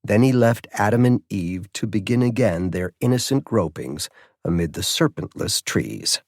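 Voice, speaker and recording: A man's voice: fairly low pitch, expressive, normal speed, husky, dry recording, studio quality. A man reading scripted narration of a mystery story as an audiobook narrator.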